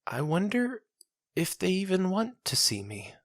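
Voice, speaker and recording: Someone voicing an anguished dying woman, voice pitched up. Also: big pitch swings, no echo, studio quality. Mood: tender sorrow. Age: about 30. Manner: pleading, drawn-out anguished delivery. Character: anguished dying woman, grieving wife and mother.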